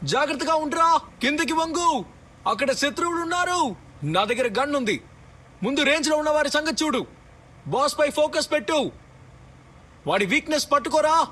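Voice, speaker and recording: A man voicing an urgent, excitable squadmate in a battle royale video game, giving clipped commands. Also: very high voice, normal speed, long pauses, heavily acted. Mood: urgent commanding. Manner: clipped, imperative callouts. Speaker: game character voice.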